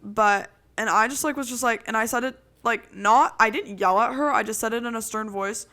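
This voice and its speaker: A young woman co-hosting a podcast, telling a roommate story in casual chat with a loud, projecting voice and lots of "like". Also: a little high, a little fast. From Italian roots. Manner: animated storytelling with frequent "like". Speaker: podcast co-host.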